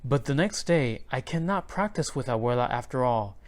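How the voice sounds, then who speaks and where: A man reading a children's picture book aloud, in clear and expressive storybook narration. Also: medium pitch, normal speed.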